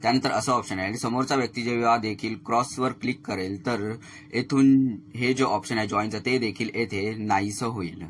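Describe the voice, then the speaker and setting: A man's voice: on the low side, steady tone, moderate pace. A man narrates a step-by-step tech tutorial as a channel host, explaining things to viewers as friends. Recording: clearly noisy voice-over recording.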